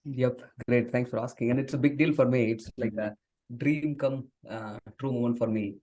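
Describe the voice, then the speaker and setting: This is a man from southern India, a native Tamil speaker, talking in an unhurried podcast interview with a mellow, warm tone. His pitch sits in a middling range. He talks earnestly and often pauses on fillers and restarts. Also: steady tone. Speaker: guest, software engineer and author. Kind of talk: conversational interview.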